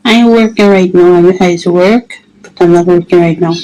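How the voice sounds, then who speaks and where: A woman's voice: on the low side, steady tone, moderate pace, dark tone, low-quality recording. A woman with a Trinidadian accent chatting casually in brief answers on an online video call. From Trinidad and Tobago. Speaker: conversation partner.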